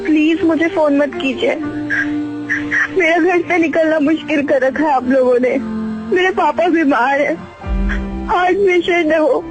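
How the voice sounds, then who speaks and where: Very high-pitched young woman on a call. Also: measured pace, pauses often, low-quality recording. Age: young adult. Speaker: radio prank call recipient. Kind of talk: emotional phone conversation. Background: background music.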